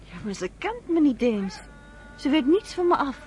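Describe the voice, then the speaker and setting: An actress playing a wary outsider in a Dutch radio play, speaking in a questioning, hesitant manner. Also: female voice, high voice, very animated, moderate pace, darkish tone, slight background noise. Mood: doubtful. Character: wary, questioning woman, an outsider being guided.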